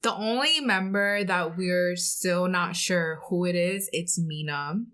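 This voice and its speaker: Slightly low-voiced woman co-hosting a podcast. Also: slow pace, a bit bright. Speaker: podcast co-host. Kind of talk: casual pop culture podcast discussion.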